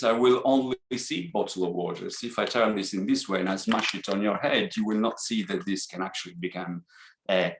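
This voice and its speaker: Low-voiced Italian man lecturing. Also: a bit bright. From Italy. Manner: halting, with frequent restarts and hesitations. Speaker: seminar presenter. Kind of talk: academic seminar lecture. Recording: echoey room.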